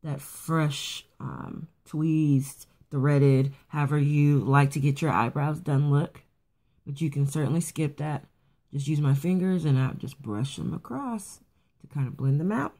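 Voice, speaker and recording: A woman with a raspy morning voice casually talking through a quick makeup routine, rambling a bit with fillers and restarts. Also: low-pitched, dark tone. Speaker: beauty vlogger. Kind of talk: casual makeup tutorial chat. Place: at home getting ready for work.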